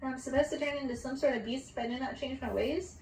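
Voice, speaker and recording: A woman's voice: high-pitched, fast talker, a little mellow. Woman playing an arrogant, scoffing young prince, roomy. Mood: amused. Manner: haughty, scoffing. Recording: distant roomy mic with background hiss.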